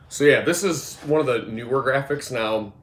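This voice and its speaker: A man in his twenties with a voice hoarse from a cold, casually walking through his skateboard setup, full of fillers and restarts. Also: medium pitch, fairly bright tone. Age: 20s. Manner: rambling, filler-heavy, moves around while talking. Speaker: skateboarding vlogger. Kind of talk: casual product walkthrough. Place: indoor room with gym mat. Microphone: phone or camera moved around. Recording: echoey room.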